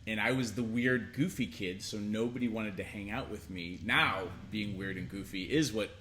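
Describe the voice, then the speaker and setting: A man's voice: fairly low pitch, normal speed, pushed and bright, a little hiss or hum. A man co-hosting a casual advice chat, playful and jokey, thinking aloud as he talks. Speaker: co-host.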